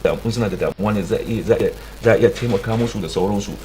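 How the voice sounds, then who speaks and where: A Nigerian man speaking as a radio studio guest in an emphatic, halting way, often repeating words as he explains. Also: lowish voice, darkish tone, a little hiss or hum. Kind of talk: radio interview in Hausa and English.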